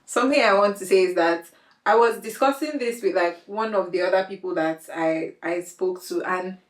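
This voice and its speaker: A woman hosting a podcast interview, chatty and quick to laugh, using slang. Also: lowish voice, reverberant. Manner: chatty, laughs often, uses Nigerian slang. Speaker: podcast host. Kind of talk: casual interview chat.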